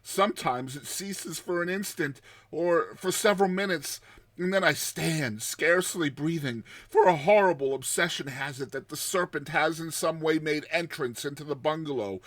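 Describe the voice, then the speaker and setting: A man voicing a terrified man telling his nightmare in a dramatized story, with tense pauses, hushed passages and explosive outbursts. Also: fairly high pitch, no room sound, voice pushed up, close to an everyday voice. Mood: panicked terror. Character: terrified man recounting his nightmare of a lurking giant serpent.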